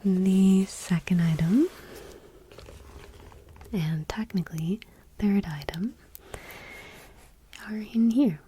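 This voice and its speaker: Woman doing asmr, slow gentle whisper close on the mic, kinda dark mellow tone. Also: medium pitch, a little hiss or hum. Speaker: ASMR creator and host. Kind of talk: soft-spoken ASMR, whispered product showcase.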